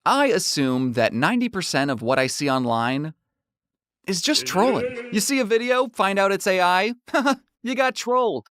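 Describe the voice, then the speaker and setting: A man narrating his own comedic story in an animated, playfully sarcastic way, like a scripted online video. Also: high voice, normal speed, dry recording.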